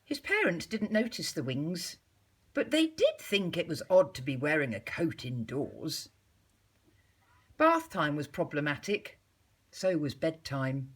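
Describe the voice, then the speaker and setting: A woman reads a children's story with very lively, swooping intonation. Her voice sits a little low and has a husky grain. She takes her time, pausing deliberately like a teacher reading to a class of little ones. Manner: measured, animated storytelling with deliberate pauses.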